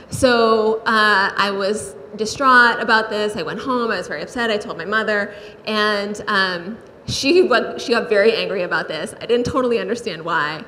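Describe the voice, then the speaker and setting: A woman's voice: medium pitch, sharp tone. A woman speaking on a panel, telling personal stories in an earnest way, with many fillers and restarts. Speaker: panelist, state legislator. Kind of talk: panel discussion, personal storytelling. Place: large hall, panel on stage. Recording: reverberant hall, faint noise.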